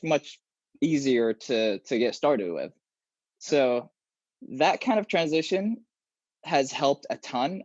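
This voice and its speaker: A young man whose first language is Arabic chats as a guest on a video call, talking with his hands and restarting his phrases as he thinks aloud. His voice sits at an ordinary pitch, and it comes through dry and a little dull over earbuds in a small room. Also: no room sound. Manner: animated, gestures a lot, many restarts. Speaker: guest software engineer. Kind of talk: casual interview talk.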